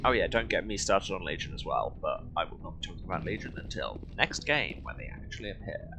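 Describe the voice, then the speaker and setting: A man's voice: sits low, lively intonation, quick pace, vocal fry, noisy background. A man hosting a video game playthrough, chatting with wry asides as he comments. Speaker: let's play host. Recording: game music behind the voice. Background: video game music and ambience.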